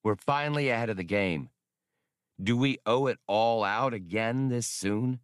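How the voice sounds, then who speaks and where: A man's voice: medium pitch, measured pace, clean tone, no room sound, clean studio sound, natural voice. A man voicing a cocky, stubborn and defensive male smuggler in an audiobook. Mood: brash, irritated. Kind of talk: audiobook character dialogue.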